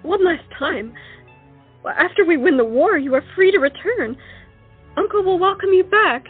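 A woman's voice: very high-pitched, animated, moderate pace. A woman playing an earnest, hesitant young colonial lady, pleading in a romantic audio drama. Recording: muffled lo-fi audio, music underneath.

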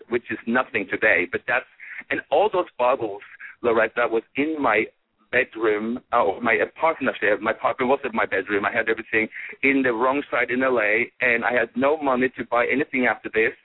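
A man's voice: medium pitch, a little fast, husky, no echo. Man on a tinny phone line. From Norway. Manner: animated, many fillers and restarts. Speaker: guest, fragrance designer. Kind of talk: casual storytelling in an interview.

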